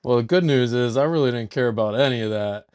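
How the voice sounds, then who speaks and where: Smooth-voiced man hosting a sports podcast. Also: mid-range voice, fast, a little mellow. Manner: playful, self-mocking. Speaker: podcast host. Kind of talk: casual sports chat.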